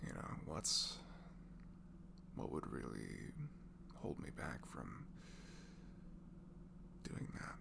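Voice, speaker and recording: Very deep, gravelly, slow-talking man. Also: expressive, very noisy. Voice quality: low velvety, gravelly. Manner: gentle, halting, drifts into breathy murmurs, casual teasing. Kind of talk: improvised intimate ASMR comfort voice message to a friend.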